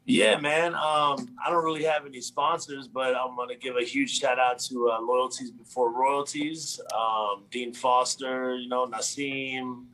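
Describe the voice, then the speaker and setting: Brief: relaxed male guest from Florida, bright, forceful tone, chatting over a video call. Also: mid-range voice, a little slow, hardly any pauses, poor audio. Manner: laid-back, laughing, shout-out heavy. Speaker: musician guest. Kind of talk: casual interview. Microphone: remote video call.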